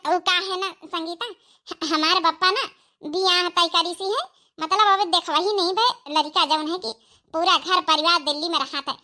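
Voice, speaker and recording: A woman's voice: extremely high pitch, moderate pace, bright, forceful tone. A woman voicing a shy, hopeful young village bride-to-be in a comedy cartoon, speaking coyly with an Awadhi accent.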